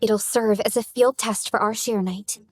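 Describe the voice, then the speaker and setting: An actress playing a calm, decisive woman who leads operations in a video game, with a reassuring manner. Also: on the high side, normal speed, lightly acted. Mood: calm, analytical. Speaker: game character.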